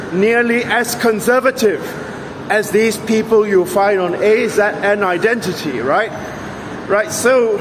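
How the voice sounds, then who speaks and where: Sarcastic high-voiced man outdoors. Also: noisy background. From Singapore. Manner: emphatic, sarcastic, argumentative. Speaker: vlogger. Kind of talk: opinionated monologue to camera.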